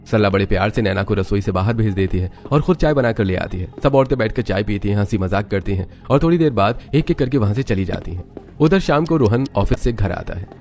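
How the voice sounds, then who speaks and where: A man's voice: fairly low pitch, expressive, a little fast, darkish tone, soft background music. A man narrating a scripted family story as its storyteller.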